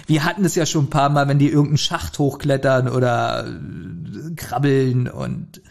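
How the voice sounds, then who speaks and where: A man's voice: medium pitch, fairly even intonation, normal speed, creaky, darkish tone. Man giving casual opinions on a podcast. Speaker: podcast co-host. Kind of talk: casual chat reviewing an audio drama.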